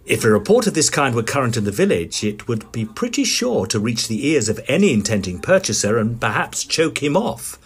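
An actor playing an inquisitive Edwardian gentleman ghost hunter, narrating and speaking in a formal British accent. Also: medium pitch, very animated, a little fast, hardly any pauses, breathy edge. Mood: measured, inquiring. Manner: genteel, formal. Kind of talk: dramatised storytelling.

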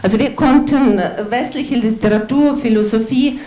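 A woman's voice: fairly high pitch, moderate pace, dark tone. A mature woman from Ukraine with a Ukrainian accent, speaking German as a second language, giving a personal comment from an audience. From Lviv, Ukraine. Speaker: audience member. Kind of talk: personal comment at a public lecture. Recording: roomy, distant room sound with noise.

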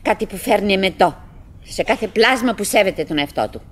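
An actress playing a chattering, doggedly cheerful middle-aged wife who fears solitude, in a halting, musing theatrical radio monologue. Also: female voice, medium pitch, animated, natural voice. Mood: shocked, disgusted. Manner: musing, halting, talking to herself and her husband.

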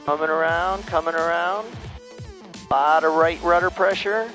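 Middle-aged man, pilot, measured cockpit callouts, slightly high voice. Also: soft background music. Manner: calm, steady step-by-step callouts. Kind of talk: calling out flight maneuvers. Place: small plane cockpit in flight. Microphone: aviation headset mic.

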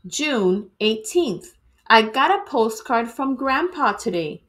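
Lively, unhurried woman reading a storybook. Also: a little high. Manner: expressive, dramatic phrasing. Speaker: teacher reading aloud to students. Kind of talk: animated children's storybook read-aloud.

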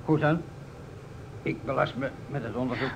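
A man's voice: mid-range voice, normal speed, gravelly, lightly acted. An actor playing a dry, probing police inspector, a man with a curt questioning manner, in an old radio play. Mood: dry, resigned. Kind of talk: vintage Dutch radio play acting. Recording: dull, noisy old radio recording.

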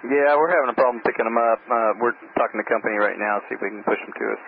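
A man's voice: medium pitch, racing, gravelly, a little hiss or hum. A man, an airline pilot, talking with the tower over aviation radio. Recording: thin narrow-band cockpit radio.

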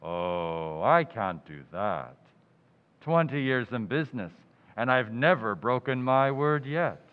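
An actor playing a pompous, smug middle-aged undertaker in a 1940s-style radio comedy, drawn-out and punning. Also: male voice, mid-range voice, expressive. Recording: tinny, reverberant lo-fi audio.

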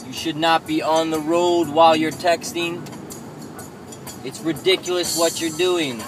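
Man, thirties, high voice, lecturing rant from the driver's seat, dash cam, music under it. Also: fairly even intonation, a little slow. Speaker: vlogger. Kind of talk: ranting vlog while driving. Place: inside a moving car. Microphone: camera mounted on the dashboard.

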